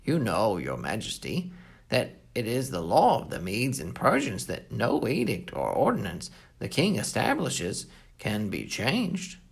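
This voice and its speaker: Scheming, insistent royal official with a gravelly edge acted by a storyteller. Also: mid-range voice, wildly swooping pitch, unhurried, pitched below the performer's own voice, full character voice. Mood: accusing, insistent. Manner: formal, insistent. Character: scheming royal officials, flattering and accusing a king.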